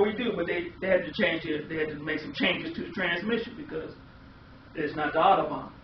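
Man, American accent, middle-aged, emphatic sermon, rhetorical questions, echoey and slightly dull distant recording. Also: very high voice. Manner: emphatic, repeating phrases and asking rhetorical questions. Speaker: preacher. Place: small indoor room. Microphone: distant camera microphone. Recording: echoey, muffled, distant camera audio with hiss.

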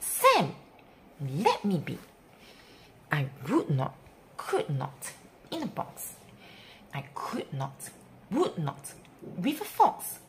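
A woman with a slightly low voice reads a rhyming picture book aloud to young children. Her delivery is slow and theatrical, with emphatic pauses and pitch that leaps wildly from phrase to phrase. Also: wildly swooping pitch, slight background noise. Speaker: children's story reader.

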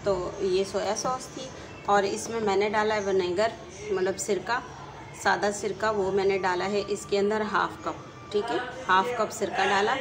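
A woman's voice: medium pitch, steady tone, moderate pace, noisy background. Chatty woman with a Pakistani Urdu accent. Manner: chatty, repeats "theek hai" after each step. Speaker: home cooking channel host. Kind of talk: casual cooking tutorial.

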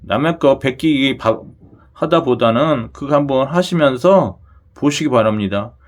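A man's voice: medium pitch, lively intonation, measured pace. A man with a regional Korean dialect hosts a chatty talk to viewers, often asking them to agree as he goes. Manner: chatty, repeats "그죠?" to the audience. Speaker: lottery channel host.

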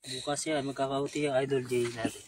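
Isang binatang nangingisda gamit ang lambat, palabiro at masigla habang nakikipagkuwentuhan. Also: male voice, medium pitch, level tone, quick pace, slight background noise. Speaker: fishing partner. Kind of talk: casual banter while netting fish. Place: concrete seawall at night, outdoors.

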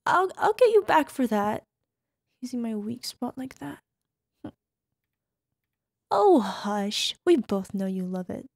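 Woman acting as playful affectionate wife, ASMR roleplay, flirty, breathy asides, touch of fry, close dry sound. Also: on the high side, very animated, slow pace, pauses often, dry recording, exaggerated voice. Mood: mock-indignant, teasing. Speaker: voice actor.

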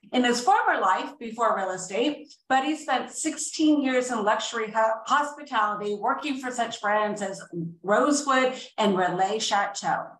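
Female web talk host, bright gushing delivery, roomy far-off webcam sound with faint hiss. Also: a little high. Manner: enthusiastic, gushing interjections. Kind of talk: video call interview. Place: room with large windows at home. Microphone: video call webcam at a distance. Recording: echoey room, distant mic, faint noise.

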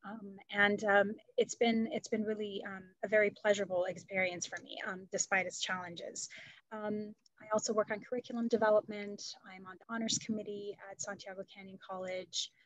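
Middle-aged woman panelist, even, steady delivery, dry close sound, headset on a video call. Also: medium pitch, fairly quick, no room sound, poor audio. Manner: conversational, with frequent fillers and light laughs. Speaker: panelist, political science department chair. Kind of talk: academic panel conversation. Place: home room.

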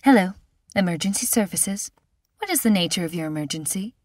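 A woman voicing an emergency operator who speaks in a measured, serenely calm way. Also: lowish voice, gravelly, no echo, studio quality, recorded from a distance, heavily acted. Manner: measured, soothing, even.

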